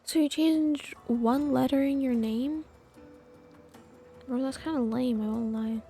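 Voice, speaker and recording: High, creaky-voiced sarcastic woman streamer. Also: unhurried, dark tone, faint music behind. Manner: sarcastic, slangy asides. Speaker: live streamer. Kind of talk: casual gameplay commentary.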